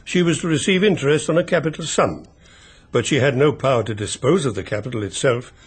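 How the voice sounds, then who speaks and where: An actor playing a discreet family solicitor with an English accent, speaking in a measured, polite, reserved way. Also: male voice, mid-range voice, slightly rough, lightly acted. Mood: measured, grave. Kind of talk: radio mystery drama acting.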